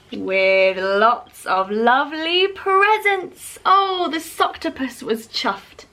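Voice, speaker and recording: A woman storyteller reading a rhyming picture book aloud expressively for young children. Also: high voice. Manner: animated, addresses young listeners.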